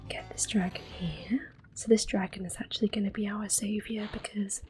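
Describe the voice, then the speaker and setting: A woman giving gentle, unhurried ASMR gameplay commentary in a soft, whispered voice, reassuring and close to the microphone. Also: mid-range voice, fairly even intonation, soft background music. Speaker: ASMR gaming host.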